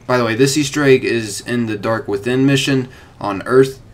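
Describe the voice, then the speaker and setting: A man's voice: medium pitch, normal speed. A young man hosting a gaming video with informal, conversational commentary.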